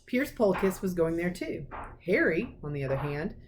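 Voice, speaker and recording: A woman reading a children's storybook aloud as a teacher, with expressive narration. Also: on the low side, moderate pace. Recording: clearly noisy recording with clicks.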